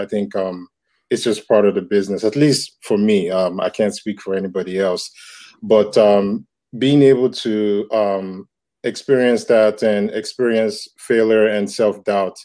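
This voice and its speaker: Man from Nigeria, thirties, lowish mellow voice, reflective, lots of ums. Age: 30s. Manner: reflective, many fillers and restarts. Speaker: comic book creator. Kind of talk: casual interview talk.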